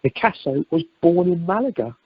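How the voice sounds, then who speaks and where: Hapless new husband, played by a woman; raspy, animated radio comedy voice on a muffled phone line. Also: fairly low pitch, moderate pace, very noisy, close to an everyday voice. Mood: dismayed. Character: hapless, literal newlywed young husband. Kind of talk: amateur radio comedy acting. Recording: muffled, dry telephone conference line.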